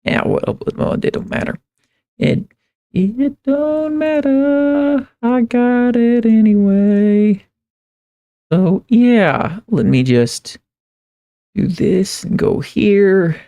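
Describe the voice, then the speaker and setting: A man livestreaming in a casual, rambling way, halting and repeating phrases as he thinks aloud. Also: sits high, warm tone, clean tone, dull audio. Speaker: live streamer. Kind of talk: casual livestream chat, reading viewer comments.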